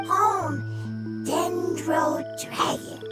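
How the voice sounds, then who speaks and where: Tiny squeaky mushroom creature. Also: female voice, exaggerated voice. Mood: earnest. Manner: halting broken speech in short fragments. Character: small timid innocent fungus creature, learning to speak. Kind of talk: video game creature acting. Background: loud music.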